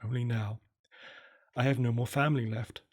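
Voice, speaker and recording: A man's voice: mid-range voice, fairly even intonation, moderate pace, a little mellow. A man telling a first-person horror story in a somber, murmuring way.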